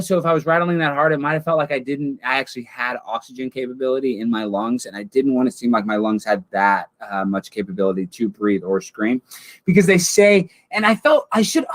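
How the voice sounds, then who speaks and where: A man's voice: fairly high pitch, no room sound. A man hosting a livestream, chatting with his viewers in a goofy, rambling way. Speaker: livestream host. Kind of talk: casual livestream chat.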